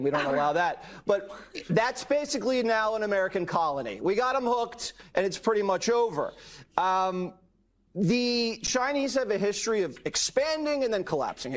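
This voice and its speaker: A man giving sardonic, mock-serious political commentary in a deadpan manner. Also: high-pitched.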